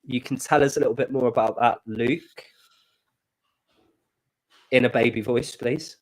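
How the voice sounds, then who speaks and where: A man co-hosting a live stream in casual chat, his voice oddly high and squeaky from a glitch, with a blocked nose, making cheerful, rambling announcements. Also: steady tone, unhurried, a little mellow, low-quality recording. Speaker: stream co-host. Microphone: desk microphone.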